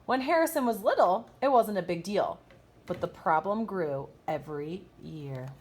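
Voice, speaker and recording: A woman kindergarten teacher reading a picture book aloud expressively, pausing to ask young listeners questions. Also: lowish voice, animated, a little hiss or hum. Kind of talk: expressive children's storytime read-aloud with asides.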